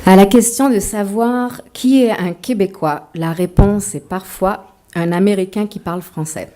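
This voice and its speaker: A woman's voice: medium pitch, a little slow, mellow. A woman author giving a talk to an audience, mixing a prepared lecture with playful, self-mocking asides.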